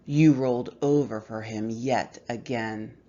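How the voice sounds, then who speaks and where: A woman's voice: a bass voice, steady tone, a little slow, warm tone, muffled recording. A woman voicing a cruel, scornful mirror spirit that taunts its victim in a horror story. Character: cruel, mocking female voice from a haunted mirror.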